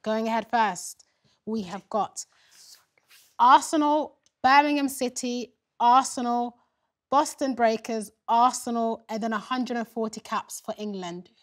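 A young woman hosting a game show in a bright studio, upbeat, with bursts of excited cheering. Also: fairly high pitch, a little slow.

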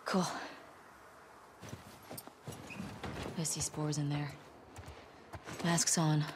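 A woman's voice: low voice, little pitch movement, slow pace, background noise. A young American woman playing a confident, teasing survivor in a video game, talking casually and directly. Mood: concerned, teasing. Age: young adult. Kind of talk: naturalistic video game acting. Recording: music behind game dialogue.

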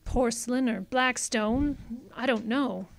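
Slow, pleading femme fatale. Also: female voice, a little high, gravelly. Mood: evasive, confessing. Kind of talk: old-time radio drama acting.

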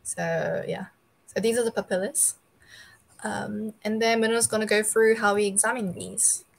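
A woman's voice: mid-range voice, fairly even intonation, normal speed, creaky. A young woman giving an informal slide presentation over a video call, explaining in a hesitant, tentative way. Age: young adult. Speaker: student co-presenter of an online dental anatomy session. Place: bedroom, indoors.

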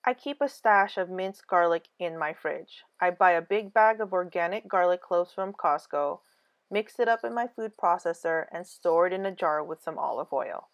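A woman giving a scripted cooking voice-over, calm clear step-by-step instructions for a home recipe. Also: lowish voice, normal speed. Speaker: cooking channel host.

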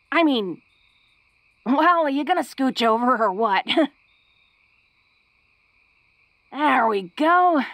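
A woman playing a big, tough young orc who is secretly awkward and tender, speaking haltingly and intimately to the listener in a romantic audio roleplay. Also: fairly high pitch, dry recording. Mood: flustered, coy. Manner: bashful, halting, breaking into soft chuckles. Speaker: voice actor.

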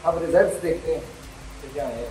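A man's voice: fairly high pitch, a little fast, a little mellow, slight background noise. Young man echoing in a gym hall. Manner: talks while posing and checking muscles. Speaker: fitness vlogger. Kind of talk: casual on-camera progress check. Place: large gym hall with bare walls. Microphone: far camera. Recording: echoey gym, voice far from mic.

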